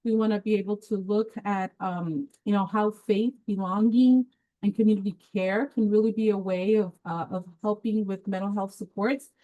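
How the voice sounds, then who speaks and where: A woman from Peru presents to an online community group in a casual, chatty way, with plenty of ums and restarts. She speaks at a middle pitch over a video call. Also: steady tone, moderate pace, lo-fi sound. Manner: warm, chatty, with laughs and filler words. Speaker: webinar presenter.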